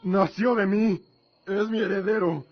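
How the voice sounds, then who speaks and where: A man's voice: high-pitched, fairly even intonation, unhurried. A man playing an anguished, devout warrior commander and father, desperate and intense, in a fantasy radio drama. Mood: pleading. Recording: dull lo-fi recording.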